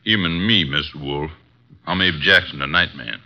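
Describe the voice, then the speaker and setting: A man's voice: very deep voice, normal speed. An actor playing a blunt, wary male night watchman in a 1950s radio drama, on a muffled old broadcast recording. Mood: plain. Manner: blunt, reluctant. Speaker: night man.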